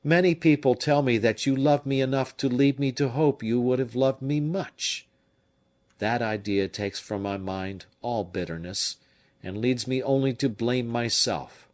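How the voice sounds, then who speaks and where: A man's voice: medium pitch, a little slow, darkish tone, lightly acted. A man reading an audiobook, voicing a heartbroken young male nobleman who speaks solemnly and earnestly. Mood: despairing.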